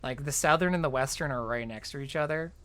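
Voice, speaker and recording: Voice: medium pitch, quick pace, a bit bright. A chatty, informal streamer thinking aloud and explaining each step while playing through an online game. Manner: chatty, informal, mild swearing. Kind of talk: casual game walkthrough commentary.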